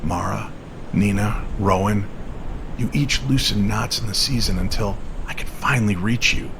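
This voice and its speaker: Male actor for dying angel, solemn and gentle, gravelly, hushed delivery, noisy ambient recording. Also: fairly low pitch, normal speed, natural voice. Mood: solemn, fading.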